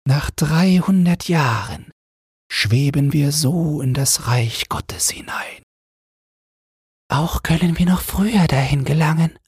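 Man voicing a high, gentle female air spirit. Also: slow, breathy edge, dry recording, clean studio sound, heavily acted. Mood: hopeful, gentle.

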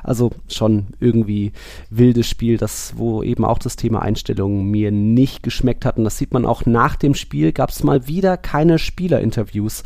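A man's voice: medium pitch, normal speed, gravelly, warm tone, no room sound, studio quality. A man co-hosting a football podcast, giving his opinions in casual, colloquial analysis.

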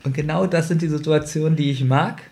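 A man's voice: fairly high pitch, steady tone, brisk, darkish tone. A man in casual conversation as a podcast co-host, informal and interjecting, keen on small details. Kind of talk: casual chat.

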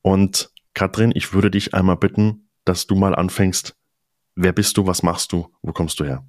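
A male podcast host with a low, deep voice. He welcomes his guests warmly and steers the conversation in a friendly way. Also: fairly even intonation, moderate pace, dry recording, clean studio sound. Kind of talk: podcast interview hosting.

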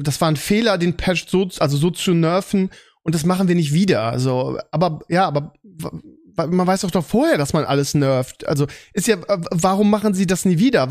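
A man hosting a casual gaming podcast, colloquial and teasing, often putting questions to his co-hosts. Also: fairly high pitch. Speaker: podcast host.

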